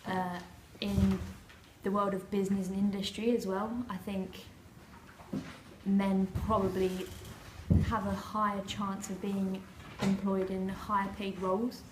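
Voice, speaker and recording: A woman from Kent, giving measured, articulate interview answers. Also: medium pitch, flat delivery, slight background noise. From Kent, England. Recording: echoey room.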